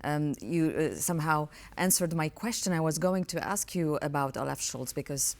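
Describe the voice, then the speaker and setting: A woman hosting a current affairs interview, putting questions in a formal, deliberate broadcast style. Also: deep voice, expressive, darkish tone.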